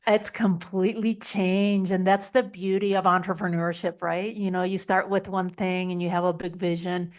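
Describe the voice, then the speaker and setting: A middle-aged woman from Spain, a podcast guest talking in a chatty way with many "like" and "you know" fillers. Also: fairly low pitch. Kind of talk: interview conversation.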